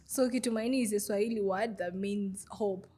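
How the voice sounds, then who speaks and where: A woman's voice: medium pitch, fairly even intonation, normal speed, mellow, slightly rough. A young woman from Goma in the DR Congo, a podcast guest, who tells her story in a hesitant way with many fillers. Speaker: guest, refugee vendor. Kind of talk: podcast interview.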